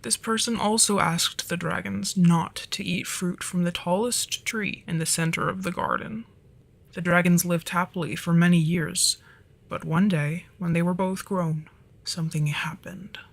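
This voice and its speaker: A performer of unclear sex voicing a flirty, easily flustered dragon storyteller in an ASMR roleplay, softly and breathily, often slipping into whispers. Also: medium pitch, fairly even intonation, measured pace, creaky. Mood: gentle, storytelling.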